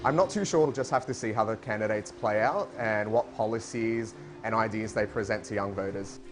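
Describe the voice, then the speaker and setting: A man's voice: fairly low pitch, fairly quick. A man giving interview answers. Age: early 20s. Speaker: young voter interviewee. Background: music.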